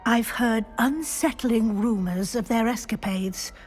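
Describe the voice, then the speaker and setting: An actress playing an earnest, tactful British professor in a video game, formal and measured in manner. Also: female voice, on the high side, soft background music, close to an everyday voice. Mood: concerned. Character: earnest, concerned female professor, dutiful and polite. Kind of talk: video game character acting.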